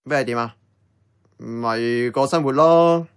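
A man's voice: medium pitch, slow pace, clear, resonant tone. An actor with a Hong Kong Cantonese accent playing a casual, blunt young man in radio drama dialogue. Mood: puzzled, defensive.

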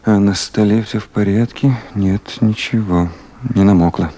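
A man's voice: on the low side, steady tone, moderate pace, dark tone, slight background noise, close to an everyday voice. An actor playing a weary man on long night duty, musing to himself in short phrases in a 1970s Soviet radio play.

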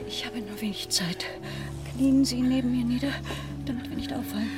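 An actress playing a torn, guilt-ridden married mother in a German radio play, breathy, halting and formal. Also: a little high, steady tone, over music, lightly acted. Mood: anguished, hushed.

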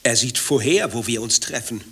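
A man's voice: mid-range voice, moderate pace, fairly bright tone, breathy edge. An actor playing an anxious, grumbling married man with a secret lover, telling his troubles in fretful clipped phrases in a satirical radio play. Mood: agitated, emphatic. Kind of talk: dialogue-driven satirical radio play acting.

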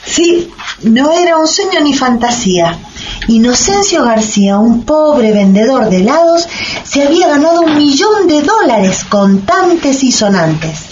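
A woman's voice: high voice, very animated, measured pace, darkish tone. A woman playing the storytelling narrator of a satirical amateur radio drama. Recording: dull lo-fi recording, clear background noise.

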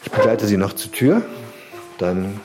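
Over clear music, a male coach played by an actor speaks in a low, very animated voice. He soothes and encourages in a patronising way, as in a satirical radio play. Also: measured pace. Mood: encouraging. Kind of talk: mock-documentary radio drama.

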